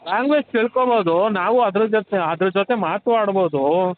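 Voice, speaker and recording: A man's voice: high-pitched, normal speed. A young man with a Karnataka accent chatting informally and teasingly with his girlfriend on a private phone call. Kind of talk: casual private phone chat. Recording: tinny phone line with background noise.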